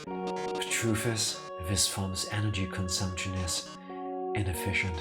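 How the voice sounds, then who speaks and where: Man doing a low, theatrical showbiz robot. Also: unhurried, loud music behind the voice. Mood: tender farewell. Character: theatrical male showbiz robot, fading gracefully. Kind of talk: voiced video game dialogue.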